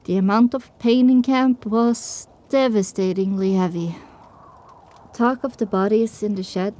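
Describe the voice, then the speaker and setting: A woman's voice: mid-range voice, warm tone. A woman reading scripted first-person story narration for an audio drama.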